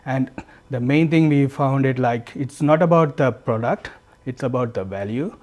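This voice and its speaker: A man's voice: mid-range voice, normal speed, darkish tone. Earnest Sri Lankan man, podcast guest. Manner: earnest, repeats and restarts phrases. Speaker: guest, candle business founder. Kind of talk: podcast interview, casual.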